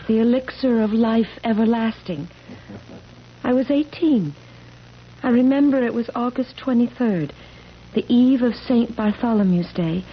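Casting: actress as earnest eternal eighteen-year-old girl, high pleading voice, 1970s radio play, muffled with faint hiss. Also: measured pace, lightly acted. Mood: earnest, insistent. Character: earnest eighteen-year-old immortal young woman, French-born centuries ago. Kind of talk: vintage radio drama acting.

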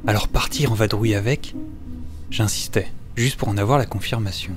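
A man's voice: on the low side, steady tone, a little fast, music in the background, lightly acted. A man playing an eager, timid notary's clerk who tells his own story in a French audio drama. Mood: measured, wry narration. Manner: hesitant, stammering in dialogue. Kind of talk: French audio drama with first-person narration.

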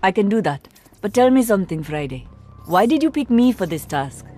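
A woman's voice: medium pitch, very animated, brisk, mellow, faint music behind, natural voice. A woman playing a proud, stern archer agent from India in a video game, speaking formally with dry sarcasm. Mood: measured. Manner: formal, clipped, sardonic.

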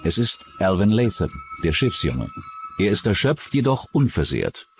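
A man's voice: fairly low pitch, big pitch swings, moderate pace, soft background music. A man reading scripted true-crime documentary narration.

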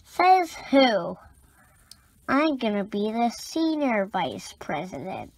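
A squabbling young storybook creature, put on by a woman, insists on outdoing everyone. The voice is high and whiny, swinging animatedly in pitch. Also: very animated, slow pace, heavily acted. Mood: competitive. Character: squabbling, competitive young comic-club creatures.

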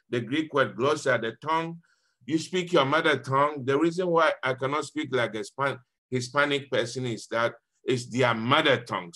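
Bright-voiced Ghanaian priest. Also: male voice, mid-range voice. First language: Fanti. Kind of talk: informal scripture reflection to a group. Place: indoor room, on a group video call. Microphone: video call.

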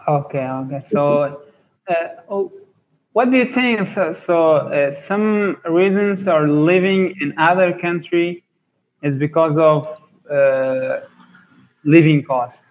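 A young man from Afghanistan in his twenties, a university student, practising on a video call and halting as he searches for words. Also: on the high side, highs cut off. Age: 20s. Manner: halting, searching for words, non-native phrasing. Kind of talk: casual video call chat. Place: indoor room. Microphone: earphone headset on a video call.

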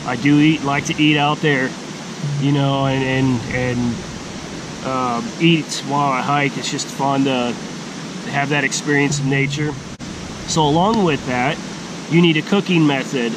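A middle-aged man giving a casual, plain-spoken outdoor gear walkthrough in a forest by a stream, speaking slowly with halting pauses. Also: medium pitch. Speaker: hiking vlogger. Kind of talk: casual gear show-and-tell. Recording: steady outdoor background hiss.

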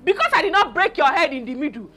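A woman's voice: high-pitched, lively intonation, quick pace, sharp tone. A woman with a Nigerian accent playing a jealous, combative scorned wife in a film drama, repeating her demands. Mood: enraged.

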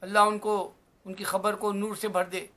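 A man, giving an emphatic, exhortatory religious talk to camera in measured phrases. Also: high voice, level tone, fairly bright tone. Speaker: religious vlogger. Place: small indoor room at home. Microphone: webcam or phone at arm's length.

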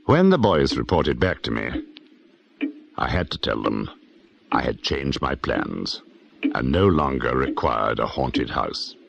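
An actor playing an elderly, ponderous film director who slowly narrates the close of an audio mystery, in a gravelly voice. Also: male voice, sing-song, exaggerated voice. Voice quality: very deep, gravelly. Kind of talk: slow storytelling narration in audio drama. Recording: dull, noisy lo-fi recording.

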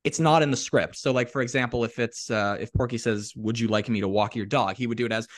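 A man's voice: medium pitch, quick pace. A man hosting a podcast, asking long, winding questions in an eager, casual way. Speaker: podcast host. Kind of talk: casual interview chat.

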